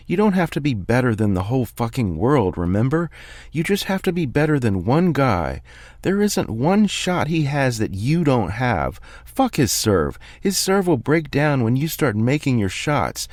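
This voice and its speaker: A man voicing a furious male tennis coach who shouts, scolds and pleads with his player. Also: medium pitch, very animated, unhurried, no echo, studio quality, voice pushed up. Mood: fierce.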